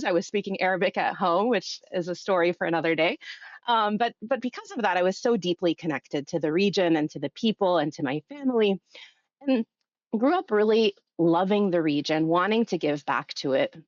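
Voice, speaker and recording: A woman's voice: lowish voice, dry recording, lo-fi sound. A woman in her thirties, from Egypt and raised in the US, giving long, earnest, articulate answers as a guest in a podcast interview. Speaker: guest, think tank executive director.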